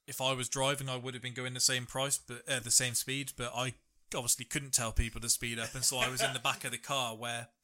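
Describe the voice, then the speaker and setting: A man's voice: mid-range voice, flat delivery, brisk, bright, forceful tone, slight background noise. A man hosting a podcast, reading out odd news stories and telling jokey tales in casual chat. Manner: chatty, jokey storyteller. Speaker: podcast host.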